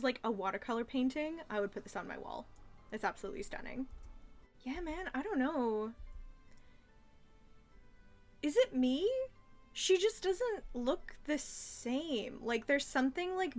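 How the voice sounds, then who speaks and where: A woman giving casual, rambling commentary as a reviewer, gushing and emphatic in an animated way. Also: a little high. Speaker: reviewer reacting to a childhood cartoon.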